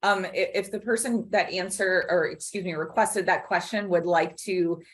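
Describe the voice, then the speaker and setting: Female webinar moderator, lower-pitched voice, passing on audience questions. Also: steady tone, normal speed.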